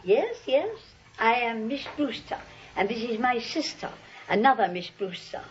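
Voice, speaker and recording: An actress playing a sweet, serene elderly spinster in a 1940s radio comedy, genteel and matter-of-fact. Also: female voice, fairly high pitch, sing-song, a little slow. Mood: gracious, cheerful. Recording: dull vintage radio recording.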